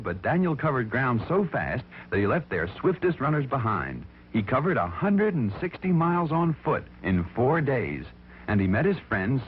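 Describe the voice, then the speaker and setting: A man's voice: medium pitch, a little hiss or hum. A man narrating a children's story in a theatrical, emphatic, folksy way, on an old recording with music behind him. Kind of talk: dramatic scripted storytelling for children. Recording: thin, phone-like vintage recording with music behind.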